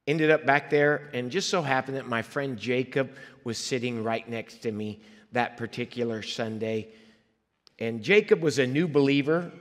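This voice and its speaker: A man's voice: mid-range voice, in a large hall. A man who grew up in Liberia, a guest preacher telling his own testimony in a church sanctuary, earnest and conversational with asides to the congregation. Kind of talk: personal testimony in a sermon.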